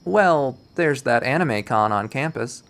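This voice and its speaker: An awkward young male student from a visual novel, acted by a man reading aloud. He talks in a halting, anxious way, earnest and eager to be liked. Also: medium pitch, animated, a bit bright. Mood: flustered, hopeful.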